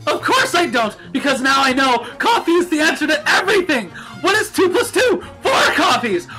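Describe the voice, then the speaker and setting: A frenzied female unicorn played by a man rants at a shrill, extremely high pitch. The words slur together in wild swoops and shrieks, cartoon style, for a storybook reading. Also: very animated, moderate pace, bright, forceful tone, faint music behind, full character voice. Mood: manic raving. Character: brainy female unicorn gone manic and frenzied.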